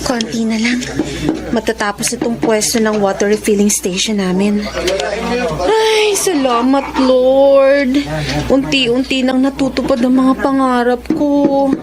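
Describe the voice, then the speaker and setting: A woman playing a fiery, high-voiced ambitious young woman. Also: unhurried, over a noisy crowd. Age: 20s. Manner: scolding, animated.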